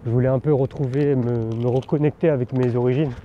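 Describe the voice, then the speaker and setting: A man's voice: medium pitch, fairly even intonation, a little fast. Rambling man talking while cycling outdoors. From France. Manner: rambling and candid, many fillers and restarts, occasional swearing. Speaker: vlogger. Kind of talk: casual spoken monologue. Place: outdoors while riding a bike. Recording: dull outdoor recording with background noise.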